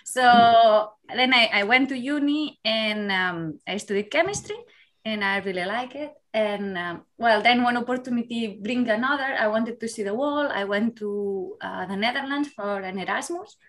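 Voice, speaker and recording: A woman's voice: on the high side, normal speed, fairly bright tone. Woman, 30s, candid, reflective, podcast guest. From Spain. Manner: candid, reflective, laughs easily. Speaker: guest, university lecturer. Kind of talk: casual interview chat.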